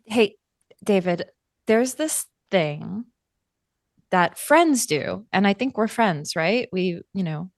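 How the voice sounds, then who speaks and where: A woman playing a caring, protective friend in a tabletop roleplay, speaking gently and haltingly with careful wording. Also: mid-range voice, expressive, no room sound, studio quality. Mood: coaxing, protective.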